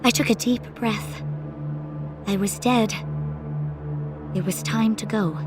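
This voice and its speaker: A woman's voice: mid-range voice, slow. A woman reading a first-person ghost story as scripted audiobook narration. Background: music score under the voice.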